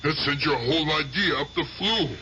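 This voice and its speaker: Aging haunted gangster: bright forceful delivery, rough street grammar, excitable, old radio drama with light hiss. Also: male voice, a little high, highs cut off. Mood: agitated, insistent. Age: older. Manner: streetwise, ungrammatical, halting, excitable talk. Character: aging, tipsy, haunted gangster, gruff but doting uncle. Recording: noisy radio drama recording.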